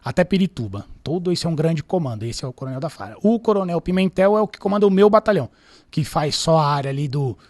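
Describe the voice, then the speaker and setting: A man in his thirties with a São Paulo accent, a police captain calmly answering interview questions about his career. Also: fairly high pitch. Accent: Paulistano Brazilian Portuguese. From São Paulo, Brazil. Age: 30s. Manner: calm, measured, storytelling. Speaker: military police captain, guest.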